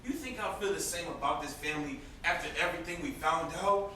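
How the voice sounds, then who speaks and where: A man's voice: on the high side, fairly quick, pushed and bright. A man acting a bitter, sarcastic young brother in an amateur stage play. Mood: resentful. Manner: sarcastic, confrontational. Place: indoor theatre stage. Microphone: distant camera microphone. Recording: distant echoey stage recording, faint noise.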